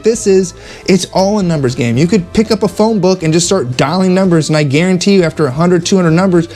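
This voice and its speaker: Guy with a kinda high voice doing a casual coaching vlog, emphatic, repeats himself, music playing behind. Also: darkish tone. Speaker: insurance agent giving sales tips. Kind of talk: casual instructional vlog monologue.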